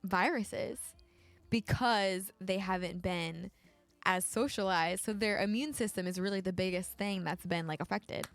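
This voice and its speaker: A woman's voice: medium pitch, a little slow. A woman co-hosting a casual livestream chat, jokey and hyped, laughing often. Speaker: co-host and singer.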